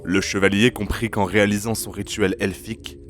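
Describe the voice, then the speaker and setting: Male storyteller, very low register, literary scripted narration for fantasy audio drama, light music bed. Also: little pitch movement, fairly quick.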